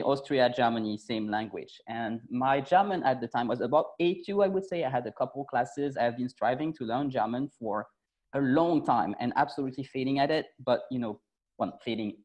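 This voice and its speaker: A man in his thirties from France, a French native, chatting easily as a guest on a video call from a bright room at home. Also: mid-range voice, low-quality recording. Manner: relaxed, talks with his hands. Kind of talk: casual interview chat.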